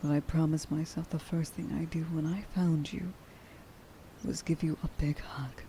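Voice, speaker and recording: A woman playing a tender, emotional young huntress in an ASMR roleplay, speaking softly and breathily and often dropping toward a whisper. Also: sits low, flat delivery, normal speed, noisy background, natural voice. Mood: tender, relieved. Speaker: voice actor.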